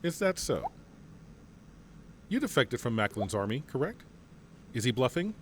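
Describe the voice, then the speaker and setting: A man playing a calm, assured general. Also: mid-range voice, measured pace, a little hiss or hum. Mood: commanding. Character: composed male general leading a mercenary company. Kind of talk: fan audio drama acting.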